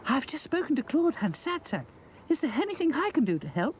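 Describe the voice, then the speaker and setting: A man's voice: very high-pitched, big pitch swings, fairly quick, a little hiss or hum, voice pushed up, poor audio. A man voicing a prim, polite female toy princess. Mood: eager.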